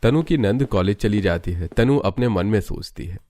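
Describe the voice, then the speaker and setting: A man's voice: lowish voice, expressive, normal speed, darkish tone. A man narrating a scripted cartoon family story as the storyteller. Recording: music behind the voice.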